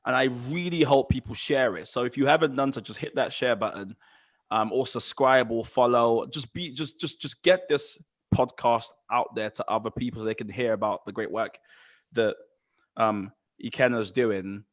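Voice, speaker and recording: An enthusiastic man hosts a casual podcast, talking eagerly and often repeating words or restarting mid-sentence. His voice sits at an ordinary pitch for a man. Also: normal speed. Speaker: podcast host.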